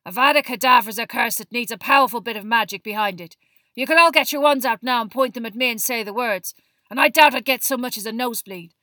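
Woman putting on a fierce, growling old man, very loud. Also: a little high, quick pace, dry recording, pitched above the performer's own voice. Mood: fierce, roaring. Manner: forceful, emphatic, barking. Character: gruff, fierce old battle-scarred male teacher, barking warnings.

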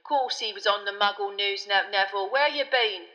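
A woman's voice: mid-range voice, moderate pace, pushed and bright, big-room sound, highs cut off, lightly acted. A woman voicing a chatty, easily spooked young male bus conductor in an audiobook, with colloquial speech and dramatic tag questions. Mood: cocky. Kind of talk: audiobook character voice.

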